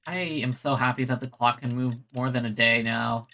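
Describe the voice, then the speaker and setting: A man's voice: mid-range voice, steady tone, moderate pace, creaky, background noise. A man giving casual gameplay commentary as a streamer, reading out game prompts. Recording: game music behind the voice.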